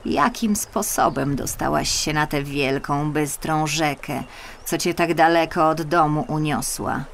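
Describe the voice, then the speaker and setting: A woman voicing a curious old woman in a storybook, slow and compassionate in manner. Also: sits low, vocal fry, slight background noise. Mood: pitying, curious. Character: old woman, pitying, curious cottage dweller. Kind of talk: storybook character voice.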